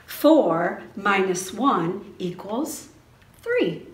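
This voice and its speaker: A middle-aged woman reading a picture book aloud to preschoolers in an expressive, sing-song, exclamatory teacher's manner. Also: mid-range voice, drawn-out words, a little hiss or hum. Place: small indoor preschool classroom. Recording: roomy indoor echo.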